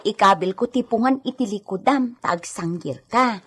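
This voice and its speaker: A woman's voice: medium pitch, moderate pace, fairly bright tone, breathy edge. A woman acting an eager, coaxing female helper who tends a sick lady in an Ilocano radio drama. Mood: caring, coaxing. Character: Edita, caring chatty female helper tending a sick lady. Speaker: voice actor.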